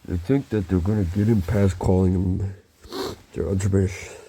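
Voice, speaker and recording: A man talking in a halting, rambling monologue, murmuring and pausing with frequent low hums. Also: steady tone, mellow, breathy edge, rough recording.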